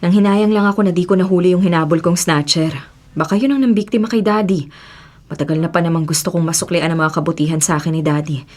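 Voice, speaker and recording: A woman's voice: fairly low pitch, fast talker, a little mellow, natural voice. A young woman acting an earnest, principled policewoman and devoted daughter in a radio drama. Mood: earnest, concerned.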